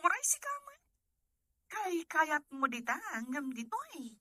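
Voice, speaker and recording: A woman's voice: high-pitched, very animated, measured pace, pushed and bright, dry recording, exaggerated voice. A woman playing a young Filipino wife who wants her freedom, in a drama. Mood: evasive. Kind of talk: comedy-drama acting.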